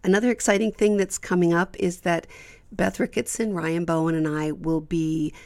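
A woman's voice: on the low side, fairly even intonation, moderate pace, darkish tone. A woman hosting a podcast, giving informal thanks and announcements to listeners. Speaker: podcast creator and host.

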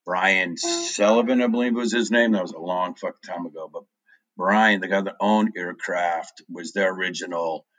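A man in his forties talks in a very low, deep voice, recorded dry and close. He rambles through his story as an interview guest, filling gaps with ums. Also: moderate pace, fairly bright tone, no room sound, low-quality recording. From New Hampshire. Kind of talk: casual interview chat.

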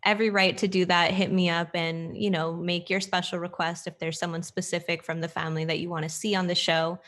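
A woman's voice: on the low side, flat delivery, a little fast, a bit bright. A woman co-hosting a podcast in casual, informal chat. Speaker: podcast co-host.

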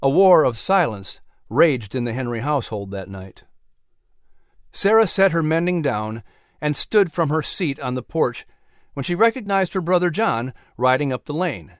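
A man giving scripted, measured storytelling narration for an audio drama. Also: on the high side, expressive, no room sound. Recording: dry studio voice.

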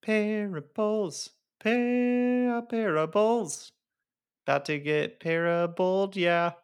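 Gravelly, slangy podcast co-host man. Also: high-pitched, slow. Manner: slangy and laid back, says dude and bro. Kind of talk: casual podcast chat.

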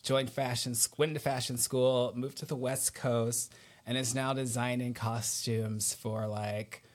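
A man's voice: medium pitch, flat delivery, unhurried. A man hosting a podcast in a casual, chatty style, informal and effusive, often repeating words for emphasis. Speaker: podcast host. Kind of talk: casual chat, personal anecdote.

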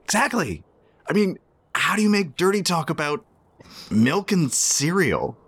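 A man's voice: fairly high pitch, big pitch swings, fairly bright tone, slightly rough, full character voice. An actor playing a shy, self-conscious man in a romantic radio play, speaking hesitantly. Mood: flustered, exasperated. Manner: hesitant, halting, with sheepish chuckles. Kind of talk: naturalistic radio play dialogue.

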